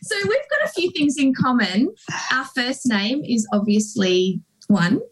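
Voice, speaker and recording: A woman's voice: on the high side, sing-song, unhurried, a little hiss or hum, rough recording. Upbeat woman hosting a podcast. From United Kingdom. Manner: upbeat and friendly, laughs easily. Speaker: podcast host. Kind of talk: casual interview chat.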